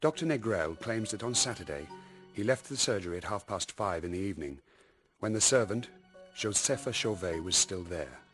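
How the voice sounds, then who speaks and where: An actor playing a matter-of-fact man reporting case details in a radio drama, in measured, scripted narration. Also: low-pitched, lively intonation, fairly bright tone, soft background music. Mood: measured, factual. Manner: measured, factual.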